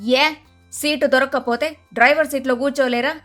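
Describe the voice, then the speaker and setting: Woman doing a bossy middle aged mother-in-law for a cartoon story, high voice, scolding, music under it. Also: fairly even intonation, moderate pace, fairly bright tone. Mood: impatient, stubborn. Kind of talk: dramatised moral story voice acting.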